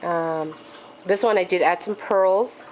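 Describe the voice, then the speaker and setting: A woman walks viewers through a handmade craft project in a relaxed, chatty way. Her voice is congested and sits on the lower side. A faint hiss of noise runs under the recording. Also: slow, rough recording. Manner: chatty, with frequent ums and okays. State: congested from allergies. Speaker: crafting tutorial host. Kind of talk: casual craft tutorial.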